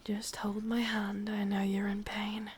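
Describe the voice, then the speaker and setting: A woman voicing a sadistic, possessive witch lover in a breathy, husky whisper with an English accent, intimate and teasing, for an ASMR roleplay. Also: mid-range voice, monotone, a little slow. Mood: soothing, possessive.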